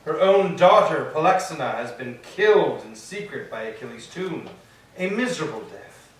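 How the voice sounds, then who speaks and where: A man's voice: fairly high pitch, animated, normal speed, lightly acted. A man playing a grand, commanding sea god, speaking formally in a staged reading of Greek tragedy. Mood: grave lament. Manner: formal, declamatory. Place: echoing church interior. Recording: very echoey hall, distant mic.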